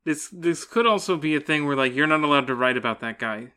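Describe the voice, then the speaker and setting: Bright, fairly high-voiced man chatting casually. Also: expressive, fast, smooth. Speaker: podcast co-host. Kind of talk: casual chat about a sci-fi book.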